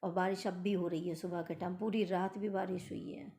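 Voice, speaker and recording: A middle-aged woman with a hoarse voice, chatting homely to her viewers as she narrates her daily routine. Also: fairly low pitch, fairly even intonation, a little fast, dark tone. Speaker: vlogger.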